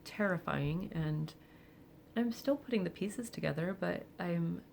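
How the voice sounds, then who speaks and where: A woman of forty speaking candidly and reflectively in a personal vlog, with soft laughs and hesitant fillers. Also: fairly low pitch, lively intonation, a little slow, gravelly, a little hiss or hum. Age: 40s. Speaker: vlogger. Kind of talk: personal confessional monologue.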